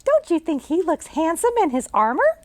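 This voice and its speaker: A woman voicing a bossy, imaginative little girl in a storybook read-aloud, in a high, bright, decisive child voice. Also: very animated, normal speed, no echo. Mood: proud.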